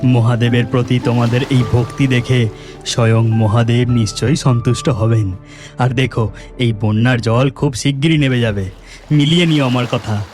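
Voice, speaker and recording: A man's voice: medium pitch, steady tone, normal speed, a little mellow, soft background music, lightly acted. A man voicing a humble, kindly village boatman in a cartoon, friendly and reassuring in manner.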